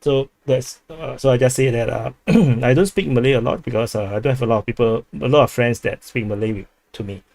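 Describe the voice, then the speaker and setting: A man's voice: medium pitch, a little fast, vocal fry, hardly any pauses, a little mellow. A man from Singapore with a Singaporean English accent, hosting a casual livestream, rambling with many restarts while reading chat aloud. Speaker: livestream host.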